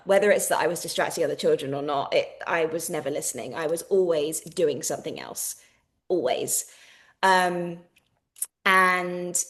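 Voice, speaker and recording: A woman, a guest on a podcast, reflecting openly on her childhood and diagnosis. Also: fairly low pitch. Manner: open, reflective, many fillers. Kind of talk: candid personal account.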